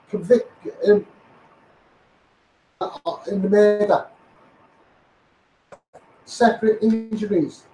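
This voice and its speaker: A man's voice: high-pitched, a little mellow. A man with a Scouse accent delivers an impassioned, repetitive, emphatic campaigning rant through a megaphone. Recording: echoey, distant, noisy megaphone recording.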